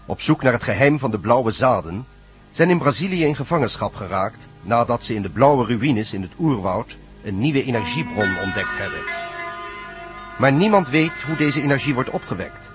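A man's voice: medium pitch, animated, moderate pace. A man narrating a scripted, dramatic recap as the narrator of a radio serial. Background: music.

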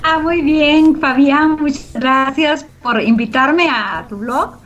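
A woman's voice: sits high, slow pace, fairly bright tone, dull audio. A middle-aged woman, giving reflective, explanatory answers as an interview guest. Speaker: interview guest, organization founder.

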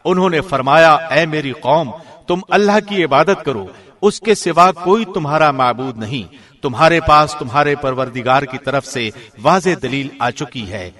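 Man, slightly high pitch, formal radio diction, deliberate scripted scripture reading. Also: a bit bright. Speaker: translation reader, radio presenter.

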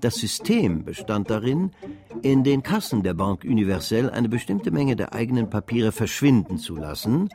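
Casting: male narrator, medium-pitched, measured and matter-of-fact, scripted radio drama storytelling. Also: sing-song.

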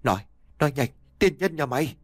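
A man's voice: a little high, lively intonation, brisk, no room sound, voice pushed up. A man doing a frantic aging husband. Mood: raging. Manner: pleading, shouting, sobbing. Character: desperate aging husband, grief-stricken and volatile.

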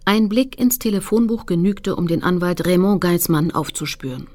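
An actress playing a determined female activist who recounts her campaign in sober, measured radio-play narration. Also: on the low side, dark tone, close to an everyday voice. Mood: sober recounting. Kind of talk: scripted first-person narration.

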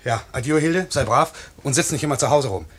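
An actor playing a swaggering but tender young newlywed pilot in a 1950s German radio play, with a teasing, dreamy way of talking. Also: male voice, mid-range voice, fairly quick, husky, close to an everyday voice. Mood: soothing, teasing.

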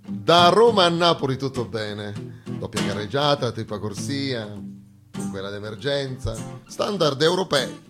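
A man delivers a theatrical comic monologue with emphatic, ironic phrasing and dramatic pauses. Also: medium pitch, lively intonation, music in the background. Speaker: stage actor performing a satirical monologue.

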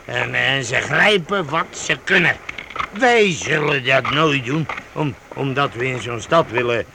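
A man acting in a Dutch radio play as a rough, jovial leader of a medieval vagrant band, bossy and halting. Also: mid-range voice, lively intonation, background noise. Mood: chatty, grumbling. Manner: hearty, bossy, halting with interjections.

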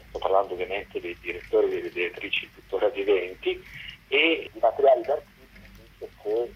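A man's voice: fairly low pitch, slightly rough. A man explaining his documentary work at length in a radio interview, in long, articulate sentences. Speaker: documentary co-director being interviewed. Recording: dull, lo-fi phone-like line.

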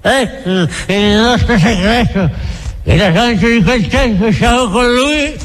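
An actor playing a bitter, domineering old Grand Inquisitor in a theatrical radio drama, halting and repeating his words. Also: extremely high pitch, low-quality recording. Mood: angry taunting. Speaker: stage actor.

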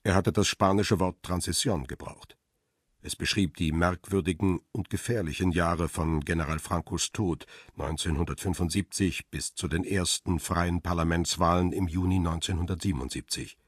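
A man playing a paparazzo who tells his own crime story in a radio drama, husky and measured in a literary way. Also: deep voice, steady tone, no room sound, studio quality. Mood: grave, absorbed. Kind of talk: radio drama first-person narration and dialogue.

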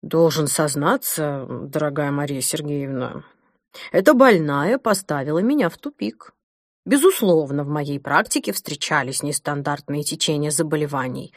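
An elderly male physician played by a woman speaks in a lowered, quiet voice. He is courteous and formal, choosing his words haltingly, like a storybook character conceding defeat in a dry studio. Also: no echo. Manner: formal, measured, halting delivery. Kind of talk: audiobook character dialogue.

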